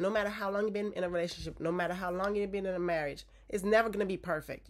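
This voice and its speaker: A woman in her forties, stuffy from a cold, giving direct, coaching relationship advice to her viewers and checking in with a frequent "okay?". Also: mid-range voice. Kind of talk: casual advice talk to viewers.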